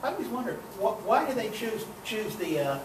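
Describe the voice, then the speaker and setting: A man with a high voice puts a casual question from the audience. He sounds distant, in an echoey room. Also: sing-song, brisk. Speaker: audience member asking a question. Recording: echoey room, distant mic, clear background noise.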